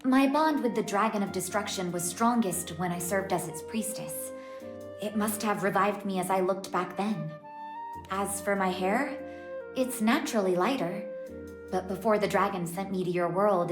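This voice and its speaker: A woman's voice: medium pitch, normal speed, natural voice. An actress voicing a young-looking priestess of a dragon god, a long-lost doting mother, in an English game dub. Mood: calm, explaining. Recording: echoing voice under prominent music. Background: guitar and instrumental music.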